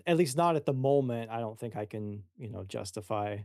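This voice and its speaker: A man speaks softly-edged and mellow, with plenty of vocal fry. He is a player in a casual chat, feeling his way hesitantly through sentences. The sound is close and dry. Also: mid-range voice, expressive, brisk, no room sound. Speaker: player creating a character.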